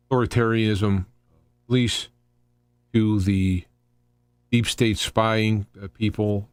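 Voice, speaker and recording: A man hosting a live talk radio show with an opinionated, unscripted political monologue, halting and restarting phrases and posing rhetorical questions. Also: on the low side, darkish tone, no room sound. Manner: halting and rambling, often repeating and restarting phrases. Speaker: radio host.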